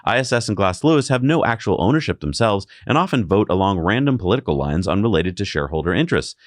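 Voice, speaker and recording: A man's voice: lowish voice, lively intonation, fast, no echo, studio quality. A man co-hosting a conversational news recap, explaining points and paraphrasing what others said. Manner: frequent paraphrased quotes, explanatory. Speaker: co-host.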